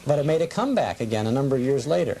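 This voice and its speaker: Man, interview host, fairly low pitch, creaky, chatty and musing, slightly noisy vintage tape. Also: big pitch swings, very fast, dark tone. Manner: musing, chatty, seeks confirmation with tag questions. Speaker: talk show host. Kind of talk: casual interview. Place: television program set. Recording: noisy, deteriorated old cable TV tape.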